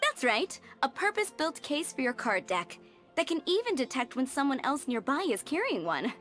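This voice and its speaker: Casting: gracious game tavern owner, high voice, lilting and animated, chatty and polite. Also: female voice, normal speed. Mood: genial. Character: genial, gracious tavern proprietress, poised adult woman. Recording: background music.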